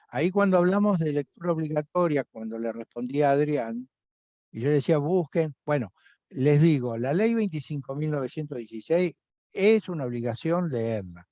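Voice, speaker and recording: A man's voice: fairly high pitch, mellow, no room sound. A man with an Argentine accent, instructor of an online course, lecturing with many hesitations and fillers. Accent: Argentine Spanish. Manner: hesitant, many fillers, recommending and inviting students. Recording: dry, dull video-call audio.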